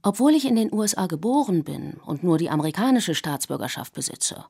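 A woman in her forties, a native English speaker raised in Germany, reading her own radio essay in a measured, reflective way. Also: on the low side, very animated, gravelly, mellow, breathy edge. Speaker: essay author and narrator. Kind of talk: scripted radio essay narration.

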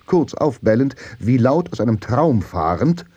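Dark, slow, husky storyteller. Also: male voice, medium pitch, sing-song, no room sound. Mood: suspenseful. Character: grave, measured male storyteller of a crime tale. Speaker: narrator. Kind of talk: scripted radio drama narration. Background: faint music.

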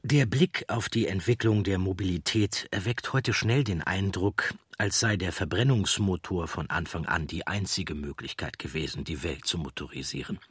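Male documentary narrator, low and husky, measured storytelling, very dry close studio sound. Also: a bit bright, no room sound.

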